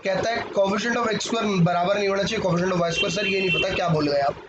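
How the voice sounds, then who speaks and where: A hoarse man from India teaches maths in a live class and keeps joking with his students. His voice sits high for a man. The recording is muffled, with steady background noise. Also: fast talker, reverberant. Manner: banters with students, mixes Hindi and English. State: hoarse, sore throat.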